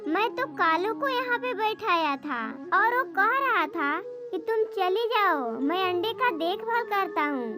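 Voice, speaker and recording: A woman voicing small female birds in a children's animated story, with a childlike, plain-spoken delivery. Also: very high voice, a little slow, few pauses, music in the background. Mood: distressed, resolute. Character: small female cartoon birds, wives and mothers.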